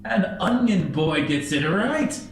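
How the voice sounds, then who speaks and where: A high-pitched, impish hologram trickster acted out by a man in a sci-fi tabletop game. He taunts playfully and theatrically, stretching out his words with glee, over a music bed. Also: lively intonation, heavy reverb, heavily acted. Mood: gleeful. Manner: taunting, playful, drawn-out delivery.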